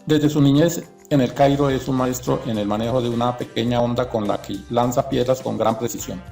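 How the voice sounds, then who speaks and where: A man gives scripted documentary narration in a medium-pitched voice with a creaky grain. Soft music plays behind him. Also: steady tone, fairly quick.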